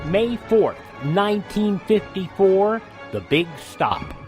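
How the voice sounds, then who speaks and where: A man's voice: sits high, very animated, slow, loud music behind the voice. A man hosting a radio show, presenting in an emphatic announcer style with casual asides.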